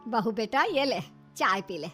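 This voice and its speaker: Woman as a soft, long-suffering mother-in-law. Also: on the high side, expressive, unhurried, faint music behind. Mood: gentle, sad.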